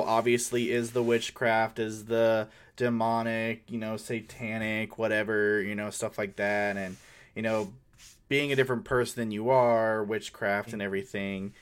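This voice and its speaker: A man co-hosting a casual conversation about tabletop gaming, talking in an informal, rambling and halting way. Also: mid-range voice. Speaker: co-host.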